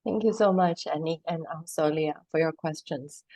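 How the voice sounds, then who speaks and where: A woman moderating an online Q&A, polite and measured, with some hesitant fillers. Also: low-pitched, mellow, no room sound. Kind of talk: webinar moderation. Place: online video call.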